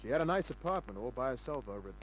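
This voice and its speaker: A man's voice: mid-range voice, expressive, rapid-fire, a little hiss or hum, natural voice, rough recording. An actor playing a tough private detective who wryly narrates his cases in a 1950s radio drama. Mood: wry narrating. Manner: wry, hard-boiled.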